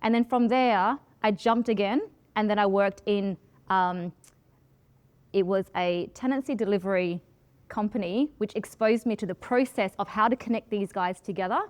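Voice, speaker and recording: A woman in her forties, a project manager giving a talk, with a loud voice and a direct, passionate way of speaking. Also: mid-range voice, normal speed, clean tone. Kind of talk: presentation talk with Q and A.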